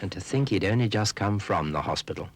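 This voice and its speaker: A man's voice: low-pitched, animated, fairly quick, gravelly, slight background noise. A man giving scripted cautionary narration in a grave, wry manner.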